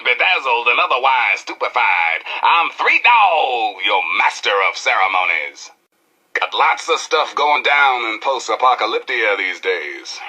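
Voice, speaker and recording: An actor playing a cocky, flamboyant post-apocalyptic rebel radio DJ with an American accent and showy, slangy delivery in a video game. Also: male voice, medium pitch, pushed and bright, slightly rough, exaggerated voice, poor audio. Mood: exuberant, showy. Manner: showy, slangy, drawn-out emphasis with howls. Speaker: radio DJ and newscaster. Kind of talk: theatrical radio DJ patter.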